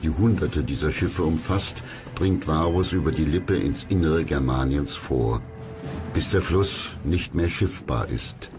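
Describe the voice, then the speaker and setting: A middle-aged man reading scripted history documentary narration in a measured, factual way. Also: extremely low pitch. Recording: clear music under the voice.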